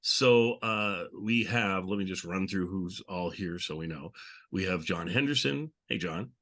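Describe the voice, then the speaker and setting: A man's voice: low voice, measured pace, a bit bright. A man hosting a podcast in casual chat, talking in a rambling, conversational way. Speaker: podcast host.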